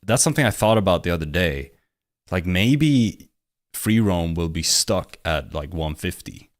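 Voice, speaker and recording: A man's voice: sits low, lively intonation, a little slow, no room sound, clean studio sound. A man chatting casually with a friend, hesitant and full of restarts. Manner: hesitant, lots of fillers and restarts. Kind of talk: casual chat.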